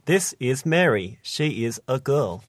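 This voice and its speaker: A man's voice: medium pitch, very animated, slow pace, very noisy. A man reading scripted English listening exercises with careful enunciation, as on a language-lesson recording. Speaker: English listening test reader.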